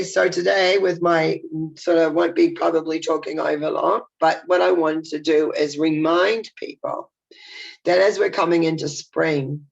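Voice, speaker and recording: A woman's voice: low voice, creaky, slightly rough. An older woman speaking in a chatty, reflective video message, her voice nasal and congested from a head cold. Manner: chatty, warm and reflective, with rambling restarts. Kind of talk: casual spoken video message. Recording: dull lo-fi sound.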